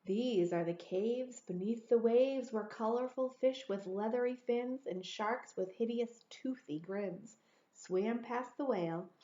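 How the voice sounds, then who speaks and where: A woman reading a children's picture book aloud in an expressive, theatrical way with drawn-out phrasing. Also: fairly high pitch. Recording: roomy, echoey, dull lo-fi sound.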